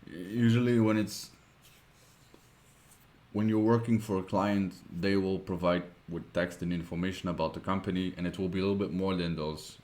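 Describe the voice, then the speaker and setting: A man with a Dutch accent, a Dutch native speaker, narrating a screen-recorded web design tutorial, thinking aloud with many pauses and fillers. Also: deep voice, fairly even intonation, darkish tone.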